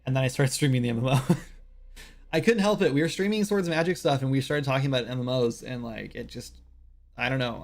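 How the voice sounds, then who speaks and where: A man's voice: medium pitch, gravelly, slight background noise. A man in his late thirties, chatting casually on a game development livestream in a rambling, self-deprecating way full of fillers. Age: 30s. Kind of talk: casual livestream chat while working.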